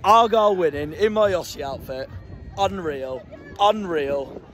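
A young man, a football fan vlogging after a match, hoarse from shouting and repeating himself in excitement, filmed at arm's length. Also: a little high, unhurried. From Plymouth, England. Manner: breathless and elated, repeating himself. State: nearly lost his voice. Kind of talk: excited post-match vlog. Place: outdoors at night, then indoors. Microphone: handheld camera at arm's length.

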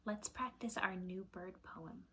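A woman in her thirties reading aloud to children like a teacher, deliberate and expressive, with a breathy voice that often drops to a hush. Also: medium pitch. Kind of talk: children's picture-book read-aloud. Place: small indoor room at home with shelves.

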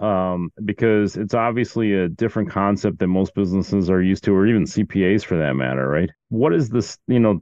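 Low-voiced man hosting, dull audio. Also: a little mellow, clear, resonant tone, no echo. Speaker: podcast host. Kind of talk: conversational interview questioning.

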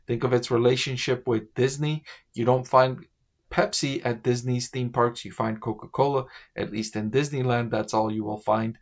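A middle-aged man talking casually to camera as a finance commentator from a home office, often checking in with the viewer with a quick "right?". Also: medium pitch. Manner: conversational, asks "right?" to the viewer.

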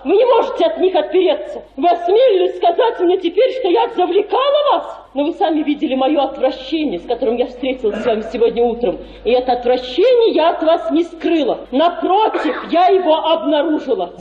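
A proud young lady of good family in a radio play. Her high voice is scornful, formal and emphatic. The sound is roomy and slightly muffled. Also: dark tone. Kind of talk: Russian radio play acting.

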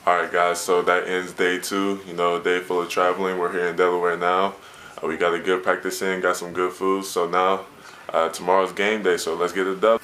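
A man's voice: low-pitched, flat delivery, fairly quick, fairly bright tone, a little hiss or hum. A young man, a college basketball player, talking casually to a phone camera in a hotel room, in an easygoing way with lots of fillers. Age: young adult. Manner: laid-back, filler-heavy talk. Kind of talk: casual vlog narration. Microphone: phone camera at arm's length.

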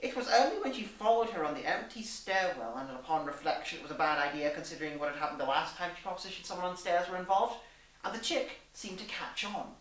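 A woman's voice: on the low side, fast talker. A young woman reading a story aloud in a theatrical, arch, mock-genteel manner. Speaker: story reader. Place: small room indoors. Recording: echoey room, distant mic.

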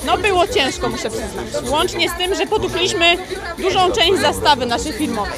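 A woman's voice: extremely high pitch, lively intonation, normal speed. A young woman leading a restaurant team, interviewed on a handheld mic on a crowded town square, chatty with fillers. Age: young adult. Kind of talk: street interview. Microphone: handheld reporter mic. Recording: outdoor street recording, loud music and crowd.

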